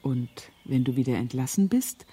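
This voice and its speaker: An actress playing a naive, pious and gently dogged lady in a radio crime drama, speaking in an earnest, hesitant way. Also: a bass voice, animated, normal speed, husky, exaggerated voice. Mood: gentle, resolute.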